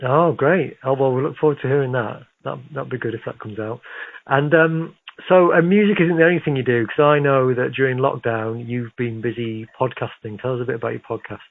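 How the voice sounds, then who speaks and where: A man of medium pitch interviews in a conversational, affirming way, as a radio host does. His voice comes through narrow and tinny, like a telephone connection. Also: fairly even intonation, fairly quick, gravelly. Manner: encouraging, affirming. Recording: narrow phone-like radio sound.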